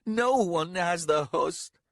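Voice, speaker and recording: A man's voice: high-pitched, expressive, takes it slowly, gravelly, dry recording, clean studio sound, pitched above the performer's own voice, heavily acted. A man voicing a young noblewoman who is tearful, insistent and defiant. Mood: pleading. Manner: pleading, sharp and insistent.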